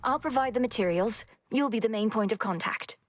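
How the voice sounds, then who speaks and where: An actress voicing a poised, determined young mythic heroine in a video game, with measured, earnest, formal diction. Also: female voice, a little high, no echo, natural voice.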